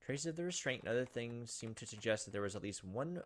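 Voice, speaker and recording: A man's voice: mid-range voice, expressive, quick pace. A young man reading game text aloud and commenting casually as a let's play host. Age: young adult. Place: indoors at a computer, webcam.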